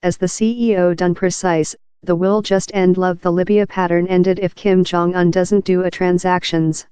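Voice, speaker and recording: A woman's voice: lowish voice, level tone, moderate pace, warm tone, clean tone, dry recording. A woman narrating scripted news in a stiff, mechanical reading manner.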